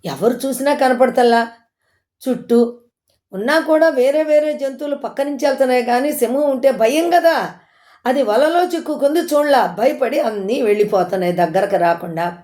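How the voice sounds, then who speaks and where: A woman's voice: high-pitched, moderate pace, mellow. A woman telling a moral story informally, in an explanatory, conversational way that repeats points for listeners.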